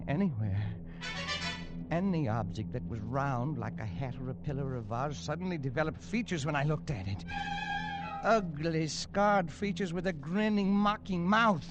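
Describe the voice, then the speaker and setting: An actor playing a haunted man telling his own nightmare in a tense, hushed, stammering first-person style in a 1940s radio drama. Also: on the high side. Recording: lo-fi old radio recording under music.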